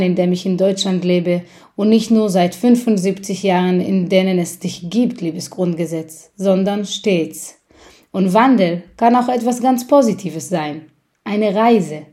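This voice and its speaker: A woman from Israel, a theatre director, reading a personal letter aloud in an earnest, measured way with small restarts. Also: mid-range voice, dark tone.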